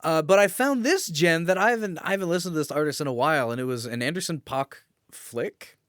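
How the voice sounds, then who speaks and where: Male podcast co-host, clear smooth voice, casual crude joker, close dry sound. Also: fairly high pitch, brisk, fairly bright tone, no echo. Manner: joking, crude humour. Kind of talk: casual comedic banter.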